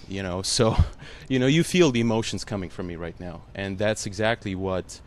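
A man's voice: low voice, moderate pace. A man, an actor whose first language is Russian, answering a panel interviewer in an earnest, emotional way, full of "you know" fillers.

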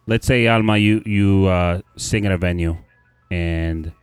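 A man's voice: low-pitched, a little slow, clean tone, dry recording, soft background music. A man hosting a podcast, chatting in an easygoing way and explaining things with examples. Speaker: podcast host. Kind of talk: casual podcast chat.

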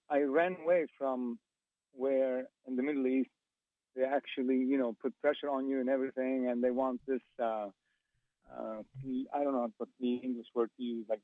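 A man's voice: medium pitch, no room sound. A man from Lebanon with a Lebanese accent, calling in to a talk radio show and searching for his words. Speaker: caller. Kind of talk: phone-in call. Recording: phone line.

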